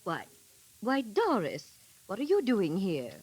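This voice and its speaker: An actress playing a kindly, excitable middle-aged woman in a 1930s radio drama, fussing and flustered. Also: medium pitch, slow pace, breathy edge, no echo, full character voice. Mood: puzzled, concerned. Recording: vintage 1938 radio broadcast recording.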